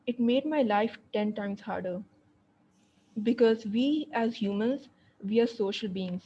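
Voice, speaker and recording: A woman's voice: medium pitch, dark tone, lo-fi sound, slight background noise. A young woman telling her own story in a testimonial, hesitant, with many repeated words and fillers. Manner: hesitant, frequent repetitions and fillers. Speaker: guest sharing her story. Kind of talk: personal testimonial.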